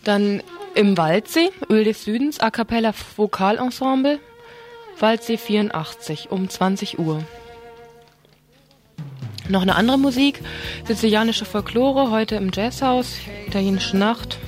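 A woman's voice: medium pitch, a little slow, faint music behind. A woman on local radio reading event listings in an informal way. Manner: informal, thinks aloud mid-listing. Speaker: radio presenter.

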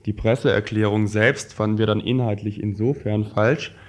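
Man, low register, scripted radio reading of written papers. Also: steady tone, moderate pace. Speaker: radio presenter reading quoted texts.

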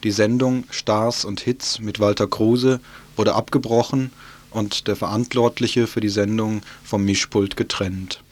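A man's voice: on the low side, steady tone, a little slow. A man presents the news on radio, reading from a script.

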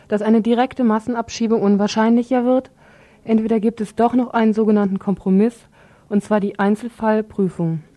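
Female news reader, mellow dark timbre, mid pitch, scripted bulletin.

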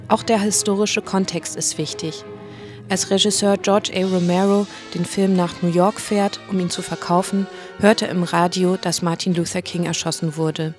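Woman reading a scripted radio interview piece, kinda low voice, bit of music behind. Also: a little mellow. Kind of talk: scripted narration.

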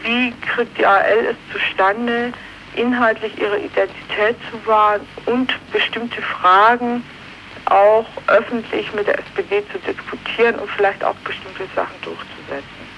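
A woman politician giving spontaneous answers in a radio interview, phrasing her points in a halting, deliberate way. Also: a little high, fairly even intonation, a bit bright, a little hiss or hum, low-quality recording. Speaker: politician, member of parliament being interviewed.

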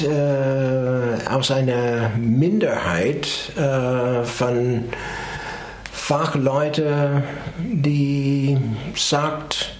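A middle-aged American man whose first language is English, speaking slowly and hesitantly as he searches for his words. He is recorded in an echoey, roomy space. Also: medium pitch, slight background noise. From New York, USA. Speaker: psychologist, interview guest. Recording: echoey room.

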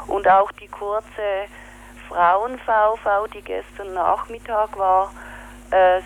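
A woman's voice: medium pitch, slight background noise, poor audio. A woman answering interview questions, hesitant and searching for words as she explains. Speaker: activist interviewed by phone.

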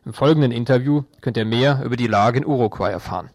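A man's voice: medium pitch, fast talker, no echo, studio quality. A man reading scripted news as a radio presenter.

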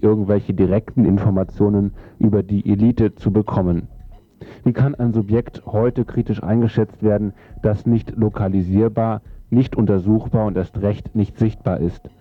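A male reader with a fairly low voice delivers a written essay for radio. The sound is dry, without room echo, and a little muffled. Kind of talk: reading an essay aloud.

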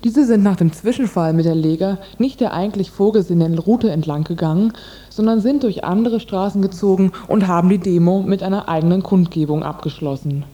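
A woman's voice: medium pitch, fairly quick, dark tone. A woman presenting a scripted radio report and reading translated interview statements.

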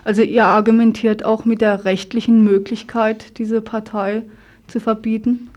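A woman moderates a radio discussion and questions her guests. Her voice sits a little high yet is dark and mellow, with very little movement in pitch. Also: level tone, moderate pace, muffled recording. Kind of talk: interview questioning.

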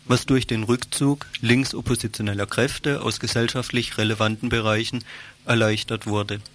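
A man reads a scripted political commentary for radio in a formal, measured manner. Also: medium pitch, steady tone, fairly bright tone.

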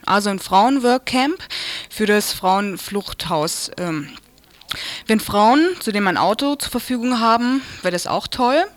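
A woman reading informal radio announcements in a halting way, repeating the details. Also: medium pitch, dry recording. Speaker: radio presenter.

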